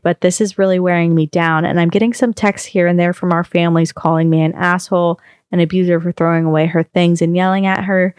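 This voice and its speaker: Smooth low-voiced woman reading aloud. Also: darkish tone, dry recording, studio quality. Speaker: podcast co-host reading a story. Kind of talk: reading a first-person online post aloud.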